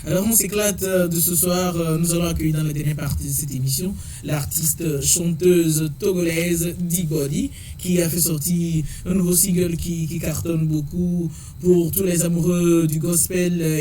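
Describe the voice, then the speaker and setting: A man hosting a lively radio show, chatty and quick to laugh, with many fillers. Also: on the high side, recorded from a distance, soft background music. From Togo.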